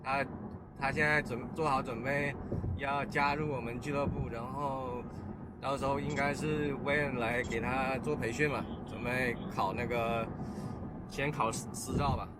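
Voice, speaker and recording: Man vlogging casually over loud vehicle noise. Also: medium pitch, steady tone, unhurried. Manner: switches into English words mid-sentence. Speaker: host of a pilot vlog. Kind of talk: casual vlog update to viewers.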